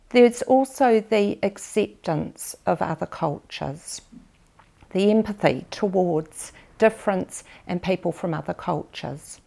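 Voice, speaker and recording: Slow, gravelly-voiced New Zealand woman. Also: fairly low pitch, mellow. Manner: measured and reflective, with pauses. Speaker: documentary interviewee. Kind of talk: personal family memoir.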